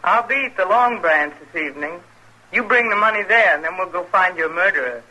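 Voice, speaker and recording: Bold money-hungry frontier woman, animated intonation, sly, old radio drama, noisy broadcast. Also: on the low side, a little fast. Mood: sly, bargaining. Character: hard-bitten, money-hungry saloon woman of Old West. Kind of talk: old-time radio western acting.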